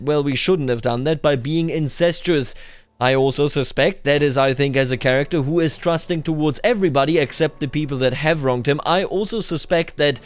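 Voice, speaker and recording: A man hosting a roleplay game video, commenting in a measured, deliberate way with emphatic repetition, his voice slightly tender. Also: on the high side. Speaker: gaming video host.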